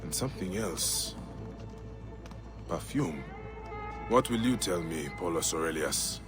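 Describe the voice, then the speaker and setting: Low-voiced, grave male investigator. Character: Bayek, grave male Egyptian investigator and protector, steady, compassionate. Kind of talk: video game acting, part dialogue, part musing aloud.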